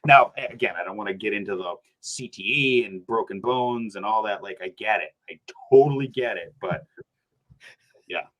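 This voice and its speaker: A man, a podcast guest chatting casually, animated and rambling, laughing often. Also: fairly low pitch, normal speed, low-quality recording. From New Hampshire. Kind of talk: casual sports chat.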